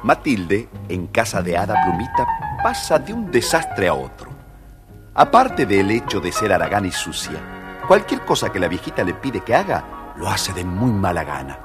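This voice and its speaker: Male children's story narrator, lowish voice, lively intonation, faint music behind. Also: normal speed. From Argentina.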